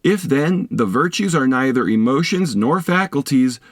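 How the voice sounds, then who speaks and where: Male narrator for philosophy audiobook; deliberate, instructive phrasing with animated pitch movement, very dry sound. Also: no echo. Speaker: audiobook reader. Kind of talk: scripted reading of a philosophy text.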